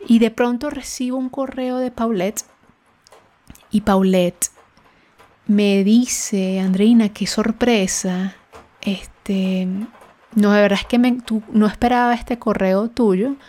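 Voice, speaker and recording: A woman's voice: mid-range voice, steady tone, takes it slowly, dark tone. A woman hosting a podcast, telling a personal story in a conversational, reflective way, sometimes searching for words. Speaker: podcast host.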